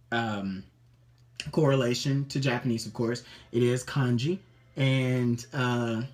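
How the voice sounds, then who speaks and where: A man telling the stories behind his tattoos in a casual, upbeat way, often pausing on ums and restarting his sentences. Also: mid-range voice. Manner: chatty and upbeat, many ums and self-restarts. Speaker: interviewee showing his tattoos. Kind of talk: casual personal account to camera.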